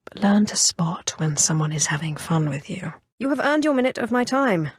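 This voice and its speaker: A woman's voice: fairly low pitch, very animated, moderate pace, husky, no echo, clean studio sound. A woman acting a haughty, sardonic young Sith sorceress in a video game, with a cool, imperious and dryly mocking delivery. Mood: amused, menacing. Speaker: video game player character. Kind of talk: scripted video game dialogue.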